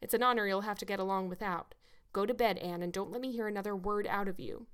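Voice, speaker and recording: A woman voicing a stern, sharp-tongued older spinster who scolds a child. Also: medium pitch, fairly even intonation, fast, clean tone, natural voice. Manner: curt, sarcastic, scolding.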